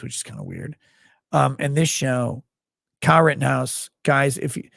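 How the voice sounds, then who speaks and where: A tired man with a gravelly voice, hosting a livestream and signing off in a rambling, blunt, profane way. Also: mid-range voice, fairly bright tone, dry recording, studio quality. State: tired, low on sleep. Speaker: livestream host. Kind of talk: casual livestream sign-off chat.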